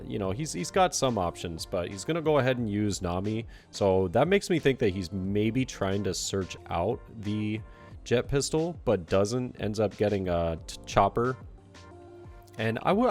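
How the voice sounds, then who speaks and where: A young man with a congested voice, casually commenting on a card game match with relaxed, filler-heavy play-by-play and some self-criticism. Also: sits low.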